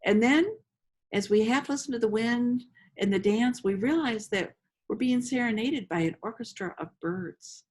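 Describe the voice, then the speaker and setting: A woman leading a guided meditation as host, speaking slowly and invitingly with long pauses, in a gentle storytelling style. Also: on the high side. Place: outdoors among roses.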